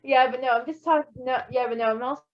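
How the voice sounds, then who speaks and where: A woman's voice: sits high, fairly even intonation, fast talker. Woman, amateur story reader, casual commentary, slightly muffled lo-fi home recording. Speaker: fan fiction reader.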